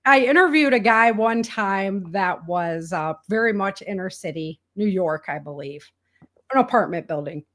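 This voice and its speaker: An American woman chatting casually as an interview guest, telling stories in an animated way and acting out bits of dialogue. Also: mid-range voice, normal speed. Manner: animated storytelling, quoting imagined dialogue. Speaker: guest, author.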